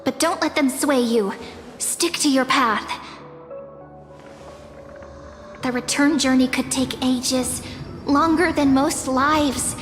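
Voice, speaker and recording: Casting: mysterious young woman guardian for anime game, gentle and sincere, high register, slow measured delivery. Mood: calm, reassuring. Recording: echoey long reverb, faint music behind.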